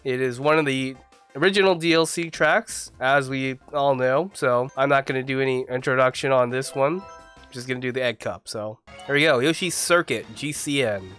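A young man with a congested voice from the flu, giving casual, excitable commentary as he plays a racing game at home on a desk mic. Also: medium pitch, normal speed, clean tone, soft background music. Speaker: gaming YouTuber. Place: home gaming room. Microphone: desk mic, face camera.

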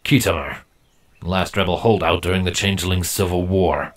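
Man acting male changeling leader, firm, deliberate, brooding, fantasy audiobook. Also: low-pitched, lightly acted. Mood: stern, brooding.

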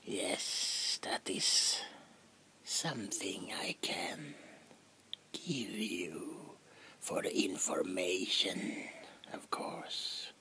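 A man playing a menacing, scheming demon in an ASMR roleplay, whispering in a slow, theatrical, gravelly voice. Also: low-pitched, lively intonation, pushed and bright, exaggerated voice. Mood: sly, bargaining. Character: menacing, scheming male demon who bargains with humans.

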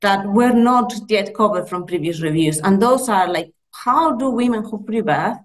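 Woman in her thirties, Spanish accent, Spanish native speaker, midwifery lecturer, earnest academic talk, measured with fillers, laptop mic on a video call. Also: medium pitch, expressive. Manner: earnest, measured, with frequent fillers and self-corrections. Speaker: midwifery lecturer presenting research. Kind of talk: conference presentation.

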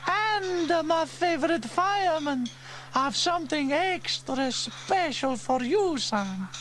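A man voicing an excitable, warm-hearted Italian woman who runs a cafe in a children's story, with melodramatic exclamations and an Italian accent. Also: extremely high pitch, takes it slowly, slight background noise, pitched above the performer's own voice, exaggerated voice. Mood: affectionate. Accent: put-on Italian.

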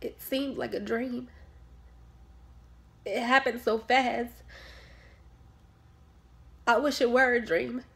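A woman's voice: a little high, steady tone, takes it slowly, vocal fry, pauses often, fairly bright tone, full character voice. A woman performing a dramatic monologue as a grieving, defensive mother, speaking in emphatic, pointed phrases. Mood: distraught. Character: grieving, defensive mother questioned about her sanity.

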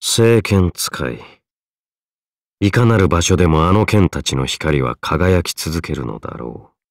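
A man playing a solemn, stoic warrior in an anime game, gravelly and gravely formal. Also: low-pitched, measured pace, no room sound, clean studio sound. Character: stoic, solemn male warrior with a demon sword. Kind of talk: anime mobile game voice acting.

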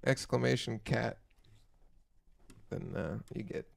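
Young guy streaming from his music room, hoarse and gravelly, quick chill chatter into the mic. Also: no room sound. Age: young adult. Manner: quick, low-key chatter between songs. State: hoarse after being sick. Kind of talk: casual chat with stream viewers. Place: small home music room, indoors. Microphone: studio mic on a stand. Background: acoustic guitar.

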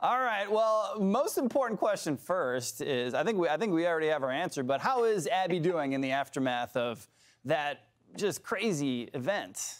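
A man's voice: fairly high pitch, lively intonation. A man anchoring a studio news show, upbeat and jokey as he chats with a guest. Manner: upbeat, jokey, quick banter.

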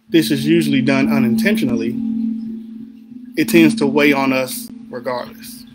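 A man guiding a sleep meditation in a soothing, deliberate manner with unhurried pauses. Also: fairly high pitch, poor audio. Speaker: meditation guide. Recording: background music under the voice. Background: music.

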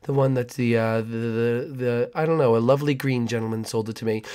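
Man doing a creepy hushed neighbor. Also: mid-range voice, steady tone, voice pushed down, close to an everyday voice. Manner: slow, hushed, oily folksy talk. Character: creepy smooth-talking male new neighbor.

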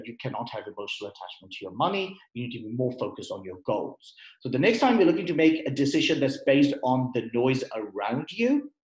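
A man's voice: medium pitch, a little mellow. A man giving a conversational webinar talk on investing, explaining with fillers and restarts over a computer mic. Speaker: guest speaker at an investing webinar. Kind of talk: webinar presentation. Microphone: computer mic on a video call. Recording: roomy echo.

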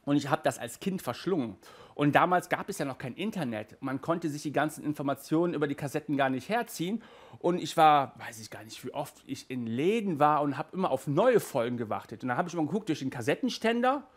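A man in his thirties giving a chatty, animated video review from a small room, speaking into a headset mic. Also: a little high, fairly quick. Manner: chatty and animated, gestures with hands. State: hot and sweaty in a stuffy room. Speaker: audio drama reviewer. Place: small room with toy shelves, indoors.

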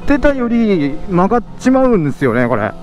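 A man narrating a motovlog from his helmet as he rides, excited and laughing, sometimes shouting out and sometimes muttering low. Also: fairly quick. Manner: excited, laughing, swinging between loud exclamations and low muttering. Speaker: motovlog host. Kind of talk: casual motovlog commentary while riding. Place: outdoors on a moving motorcycle. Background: road and engine noise with background music.

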